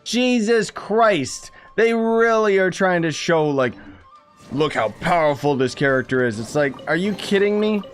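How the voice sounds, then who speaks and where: Guy streaming a game, sarcastic joking commentary, normal pitch, faint game music behind. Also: lively intonation, a little slow. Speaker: gaming streamer. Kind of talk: casual gameplay commentary.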